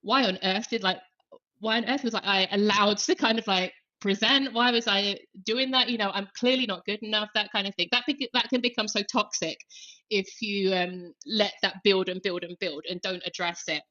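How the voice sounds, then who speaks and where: A woman's voice: mid-range voice, normal speed, sharp tone, dull audio. A woman guest on a podcast, talking casually with lots of fillers and restarts. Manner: chatty, many fillers and self-corrections.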